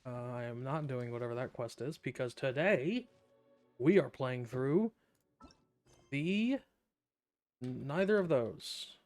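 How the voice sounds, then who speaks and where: A man's voice: medium pitch, animated, takes it slowly, long pauses, darkish tone, soft background music. A man streaming a video game live, chatting casually, thinking aloud and reading game text in a laid-back way.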